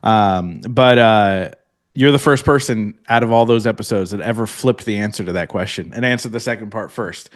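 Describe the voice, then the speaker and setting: A man's voice: on the low side, moderate pace. A man hosting a podcast interview, upbeat and friendly, calling his guest "man". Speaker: podcast host.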